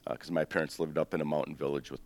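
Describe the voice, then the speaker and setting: A man in his fifties with an extremely low, rumbling voice, born in Greece and raised in the States. He tells his family story in a loose, meandering way, full of ums and restarts, as a guest being interviewed. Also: rapid-fire. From born in Greece, raised in the United States. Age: 50s. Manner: relaxed, rambling, with frequent ums and self-corrections.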